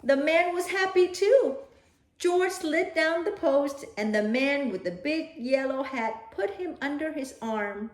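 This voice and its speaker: A woman's voice: sits high, lively intonation, a little slow, roomy sound. A woman hosting a children's read-aloud, speaking in simple, direct phrases as if to young listeners. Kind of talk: expressive storybook read-aloud and talk addressed to children.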